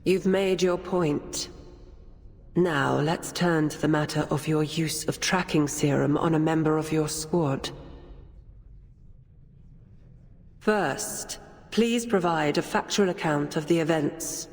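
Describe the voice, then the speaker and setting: An actress playing a stern, skeptical tribunal judge in a game, questioning in a formal, clipped manner. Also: female voice, low-pitched, unhurried, darkish tone. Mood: cool, formal. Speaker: presiding judge. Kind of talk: dramatic game voice acting. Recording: long hall reverb, music behind.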